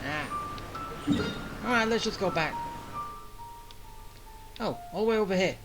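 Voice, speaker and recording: Streamer doing offhand playthrough commentary, casual muttered asides, clear game music underneath. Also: medium pitch, lively intonation, a little slow, rough recording. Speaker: game streamer playing through a story quest.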